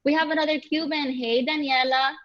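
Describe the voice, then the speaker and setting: A woman's voice: high voice, fairly even intonation, a little fast, muffled recording. A young woman from Cuba, enthusiastic and friendly, presenting on a video call. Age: young adult. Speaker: nonprofit staff member. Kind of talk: webinar presentation.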